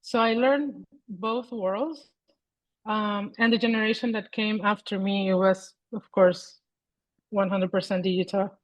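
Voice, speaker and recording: A Venezuelan woman in her forties speaks over a video call, thinking aloud and often circling back to restart a phrase. Her voice sits at an ordinary pitch and is captured close and dry, with no room behind it. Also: steady tone, no room sound, rough recording. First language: Spanish. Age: 40s. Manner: thoughtful, halting, many restarts and fillers. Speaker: guest artist.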